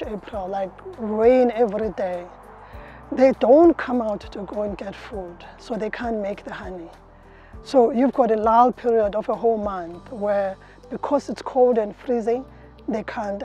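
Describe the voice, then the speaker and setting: A woman's voice: on the high side, mellow. A middle-aged woman beekeeper, interviewed outdoors in an orchard, explaining with frequent fillers and restarts. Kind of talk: interview answers.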